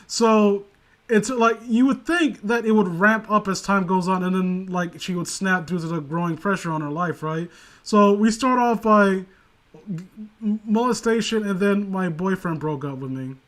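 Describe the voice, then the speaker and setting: A man's voice: high voice, moderate pace, a bit bright. A man co-hosting a casual comedic commentary, rambling sarcastically with crude humour. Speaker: co-host of a comedic commentary show.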